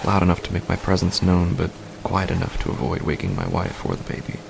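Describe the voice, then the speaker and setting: A man performs a frightened husband telling his own horror tale in the dark. His voice is very low and full of gravelly fry, breathy and halting, sinking toward a whisper. Clear noise and eerie ambience sit behind the narration. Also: big pitch swings, heavily acted. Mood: uneasy. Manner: halting, hushed, dropping into whispers. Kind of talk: scripted horror fiction narration, first person.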